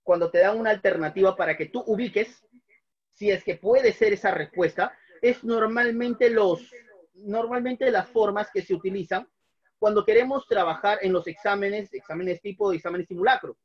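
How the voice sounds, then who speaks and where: A man's voice: high voice, moderate pace, muffled recording. A man in his thirties teaching math at a whiteboard in a small room, patiently repeating each step and putting questions to his students. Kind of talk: online class at a whiteboard.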